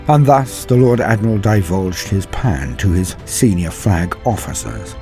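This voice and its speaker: A man narrating a dramatic science fiction story in a measured, theatrical way. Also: lowish voice, lively intonation, dark tone, soft background music.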